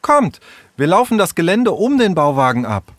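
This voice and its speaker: A man's voice: high-pitched, sing-song, normal speed, fairly bright tone, dry recording, pitched above the performer's own voice. A man voicing a bossy, spirited schoolgirl in a children's story, emphatic and determined.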